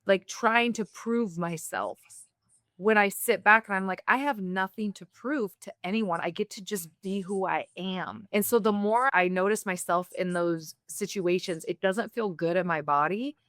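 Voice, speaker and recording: A woman from the United States hosting an interview, talking in a candid, reflective way with quick bursts. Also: mid-range voice, fairly bright tone, dry recording. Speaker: podcast host. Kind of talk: conversational interview.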